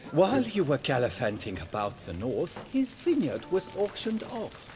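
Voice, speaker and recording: A man's voice: on the high side, wildly swooping pitch, normal speed. An actor playing a well-informed, gossipy townsman in a fantasy game, speaking in a knowing, wordy way. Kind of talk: fantasy video game dialogue.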